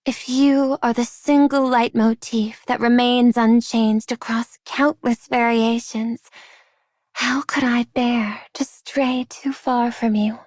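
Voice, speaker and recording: Game casting: poetic young woman, fairly high soft voice, slow tender delivery, devoted. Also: fairly even intonation, dry recording, clean studio sound. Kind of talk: video game voice line.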